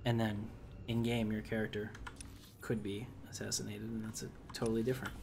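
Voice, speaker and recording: A man's voice: fairly low pitch, flat delivery, brisk, creaky, background noise. A man giving casual, rambling let's play commentary as he hosts a gaming video.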